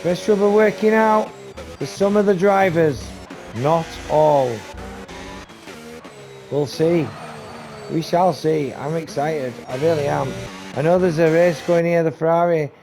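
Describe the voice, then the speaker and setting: A man giving live commentary on a sim race, talking to viewers and losing his voice, with race car engines loud behind him. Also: a little slow, long pauses, faint music behind. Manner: excitable, talks to viewers, rising to high bursts on action. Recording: noisy stream with engine sound. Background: race car engine noise.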